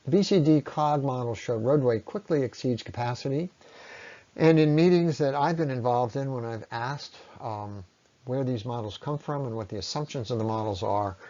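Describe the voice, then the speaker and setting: A man giving a persuasive, semi-scripted public presentation, speaking in an earnest, deliberate way with emphatic phrasing. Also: medium pitch, mellow, lo-fi sound. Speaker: speaker presenting against a road project.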